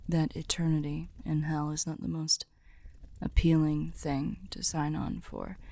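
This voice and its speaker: A seductive, courtly demoness, played by a woman in an ASMR roleplay speaks very slowly in soft half-whispers. Her voice is low and creaky, tender and a little world-weary. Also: full character voice. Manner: slow, soft, breathy half-whispered formal speech.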